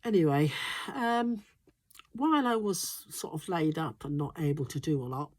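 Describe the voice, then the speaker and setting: A woman's voice: low-pitched, lively intonation, moderate pace, darkish tone. A woman vlogging from her smallholding, congested and recovering from flu, chatting in a rambling way with frequent ums and restarts. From Wales. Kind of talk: casual chatty monologue.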